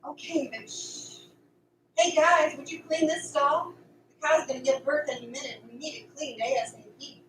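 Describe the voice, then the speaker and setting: A wary but kind-hearted farm hand acted by a woman in a radio play. Her voice is a little high, heard from a distance in a roomy space. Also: steady tone, normal speed, a bit bright. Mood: hushed, bossy. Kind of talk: audio drama dialogue. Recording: roomy, distant, noisy amateur recording.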